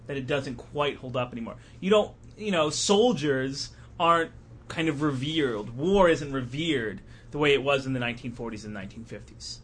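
A man hosting a casual podcast panel discussion, thinking aloud in a halting way. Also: fairly high pitch, a bit bright, slightly rough. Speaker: podcast host.

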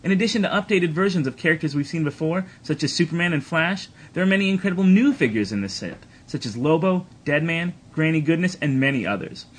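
A man's voice: a little high, lively intonation, fast, few pauses, breathy edge. A man hosting a podcast, speaking in a presenter's style to listeners. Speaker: podcast host. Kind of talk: scripted promo reading and casual panel banter.

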